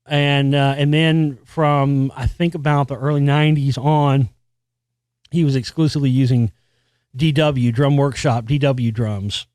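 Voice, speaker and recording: Rambling podcast host, dry close sound. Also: male voice, fairly even intonation, measured pace, a little mellow, no room sound. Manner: rambling, with restarts and casual swearing; experienced singer with a high singing voice. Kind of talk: casual solo monologue about music.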